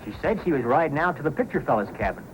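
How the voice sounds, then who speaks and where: An actor playing a grudging, folksy frontier local in old-time radio style. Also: male voice, on the high side, sing-song, racing. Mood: grumbling. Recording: noisy old radio recording.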